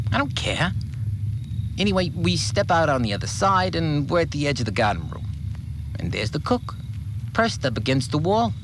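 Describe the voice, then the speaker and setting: A man's voice: a little high, noisy background. An actor playing an irritable, controlling cleaner who tells his story impatiently in short, contemptuous sentences, as a first-person audio drama monologue. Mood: irritated. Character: irritable, controlling male cleaner in a strange sealed community.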